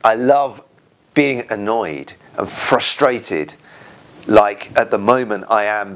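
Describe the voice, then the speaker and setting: Slow-paced English minister preaching. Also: male voice, low-pitched, a bit bright. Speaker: church minister. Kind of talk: informal sermon to camera. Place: indoors, small room at home. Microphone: lapel mic.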